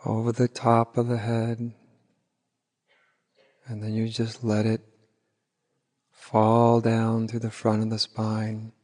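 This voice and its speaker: A man leading an unscripted guided meditation as a teacher, gently and unhurriedly, with long pauses. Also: on the low side, monotone. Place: large reverberant room at a retreat. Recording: hall reverb, live retreat recording.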